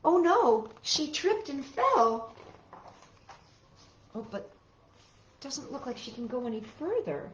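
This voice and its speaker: A woman with a fairly high voice tells picture-book stories to children. She takes her time, adding casual comments and questions for the young listeners. The recording is echoey, like a live room. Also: big pitch swings, takes it slowly, long pauses, dull audio, slight background noise. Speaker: storytime host reading picture books to children. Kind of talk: casual read-aloud storytelling with commentary for children.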